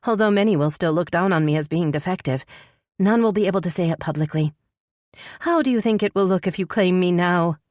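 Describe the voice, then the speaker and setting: A woman voicing a tearful young supernatural princess in a romance audiobook, soft and shaky, pleading sorrowfully yet resolute. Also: a little fast, no echo, studio quality, lightly acted. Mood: pained, resolute. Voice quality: soft, shaky, higher-pitched voice. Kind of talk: dramatic romance audiobook dialogue.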